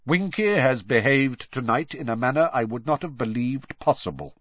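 A man's voice: medium pitch, very animated, moderate pace, no echo, dull audio. A man voicing a cold, stern senior official who speaks in a clipped, icy, barking way. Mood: curt stern.

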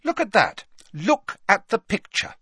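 A man's voice: high voice, big pitch swings, a little slow, a bit bright, husky, no echo, pitched above the performer's own voice, full character voice. A man voicing a bossy, earnest teenage girl in an audiobook, insistent and emphatic in how she argues. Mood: firm, insistent.

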